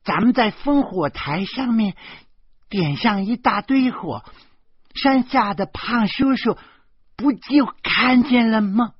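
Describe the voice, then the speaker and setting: A man voicing a clever, cheeky little girl in a very high, bright voice. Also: expressive, slow pace, slightly rough, no echo, dull audio, heavily acted. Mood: eager.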